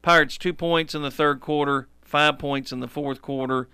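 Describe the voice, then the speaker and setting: A man's voice: a little high, fairly even intonation, moderate pace, sharp tone, clean tone, no echo, clean studio sound. A man co-hosting a local sports radio show, reciting game scores and correcting himself as he goes. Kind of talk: game recap commentary. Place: radio studio. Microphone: studio microphone.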